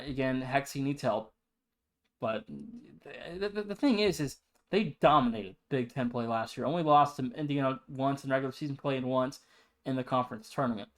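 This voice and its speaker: A man with a mid-range voice speaks as a sports podcast host. He runs through results and past seasons as analysis. His delivery is emphatic and opinionated. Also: medium pitch.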